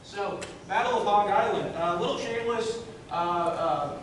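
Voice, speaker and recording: A loud, chatty man lectures to a crowd, his voice sitting a bit high. He is heard from far off in a very echoey room, with steady noise underneath. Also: moderate pace. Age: 30s. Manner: loud, chatty, many fillers and restarts. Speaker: guest lecturer, historian. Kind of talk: public history lecture. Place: large echoey room, in front of an audience.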